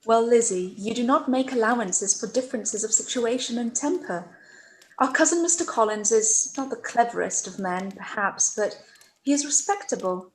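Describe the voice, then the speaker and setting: Woman acting gentle young gentlewoman, echoey room. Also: fairly high pitch, animated, moderate pace, lightly acted. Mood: mild, reasoning. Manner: mild, reasoning, formal period speech. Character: Jane Bennet, gentle, trusting young gentlewoman. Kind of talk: period radio play live read. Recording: echoey room with clear background noise.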